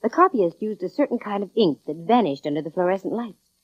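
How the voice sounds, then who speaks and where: A woman's voice: medium pitch, expressive, fast talker, no echo, natural voice. An actress playing a wisecracking, confident female private eye, narrating and talking in 1940s radio drama style. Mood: keen, explaining. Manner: breezy, slangy wisecracks. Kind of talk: 1940s radio detective drama acting, first-person narration. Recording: dull vintage radio recording with music.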